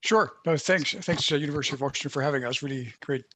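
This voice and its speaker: A man's voice: fairly high pitch, quick pace, pushed and bright. A man in his forties from the Netherlands with a Dutch accent, introducing himself hesitantly as a panelist on a video call. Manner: hesitant, many fillers and restarts. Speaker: HR leader, panelist.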